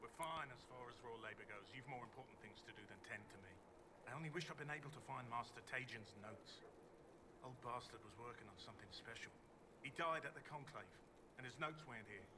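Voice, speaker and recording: A man's voice: mid-range voice, fairly quick, close to an everyday voice. An actor playing a grumbling, sardonic male apothecary in a fantasy game, blunt in his delivery. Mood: grumbling, businesslike. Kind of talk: scripted fantasy game dialogue. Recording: game audio with clear background noise.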